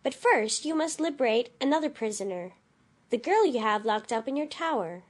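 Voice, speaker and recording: A woman playing a gentle, dignified girl ruler in a dramatized storybook, sweet, poised and firm. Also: on the high side, expressive, moderate pace. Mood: calm, firm.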